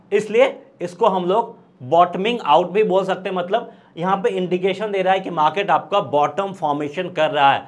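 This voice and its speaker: A man in his thirties with an Indian accent, giving an explanatory trading lesson and answering his own questions as he goes. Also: sits high. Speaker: stock trading instructor. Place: indoor studio, presenting at a screen. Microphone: lapel.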